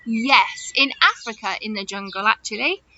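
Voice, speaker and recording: A woman talking chattily to young children as their teacher, animated and full of questions and wonder. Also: a little high, normal speed. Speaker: children's teacher hosting story follow-up activities. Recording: dull audio with loud noise and clicks.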